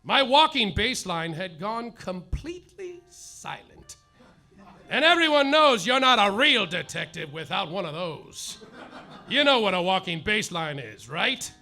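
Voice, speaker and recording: A man playing a boozy, hard-boiled male private eye, narrating in a mock-noir deadpan that drags out its punchlines, live on a stage over music. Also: sits high, a little slow. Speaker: comedic detective narrator. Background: live music and audience laughter.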